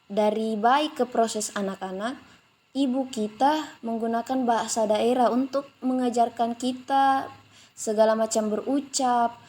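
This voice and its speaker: Young female student presenter, slightly high voice, didactic explainer delivery aimed at classmates. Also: fairly even intonation, unhurried, darkish tone. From Makassar, South Sulawesi, Indonesia. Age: young adult.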